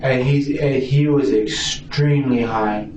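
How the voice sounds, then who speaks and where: A man's voice: mid-range voice, darkish tone. Guy being questioned by cops, stammering and rambling, defensive, muffled far-off echoey recording. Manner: rambling, repetitive, halting with stammered restarts. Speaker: suspect being questioned by police. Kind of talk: police interrogation answers. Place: indoor police interview room. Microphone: distant room recording microphone. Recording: distant, echoey, muffled noisy interrogation recording.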